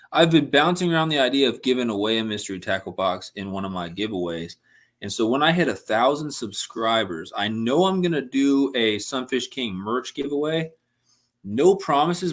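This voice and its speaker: A young man hosting a casual fishing livestream, chatting and answering viewers' messages, his voice a little worn from talking. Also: medium pitch. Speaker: livestream host.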